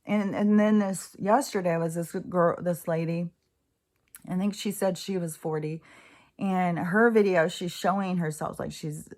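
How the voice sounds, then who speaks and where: Candid woman in her sixties, lowish voice. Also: steady tone, unhurried, darkish tone. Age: 60s. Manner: candid, rambling, swears freely. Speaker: host. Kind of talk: casual spiritual monologue.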